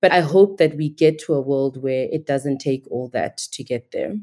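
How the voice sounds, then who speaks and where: A woman's voice: a bass voice, normal speed, darkish tone. A woman from Nigeria, a podcast guest, talking in a thoughtful, articulate and earnest way. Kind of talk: reflective conversational interview.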